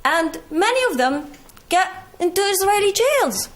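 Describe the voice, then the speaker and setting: A woman in her twenties with a Palestinian British accent, earnestly telling her personal story to an audience with halting self-corrections and wry asides. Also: very high voice, big pitch swings. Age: 20s. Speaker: speaker giving a talk. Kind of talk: personal testimony talk to an audience.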